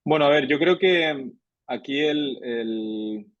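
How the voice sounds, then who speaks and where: A man's voice: on the high side, animated, takes it slowly, no echo, highs cut off. A man answering on a professional panel, hesitant and often restarting his phrases. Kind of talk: round-table discussion.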